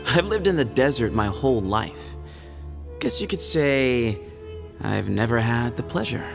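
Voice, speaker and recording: A man's voice: medium pitch, expressive, measured pace, gravelly, over music. A man voicing a playfully sly young desert dweller in a game, casual, teasing and evasive. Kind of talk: game dialogue.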